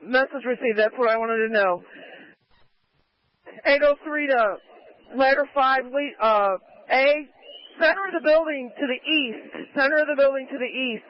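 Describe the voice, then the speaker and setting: Very high-pitched man on fire radio. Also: moderate pace, no echo. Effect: two-way radio. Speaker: fire crew member. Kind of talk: radio traffic at a fire scene. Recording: dull lo-fi scanner radio audio.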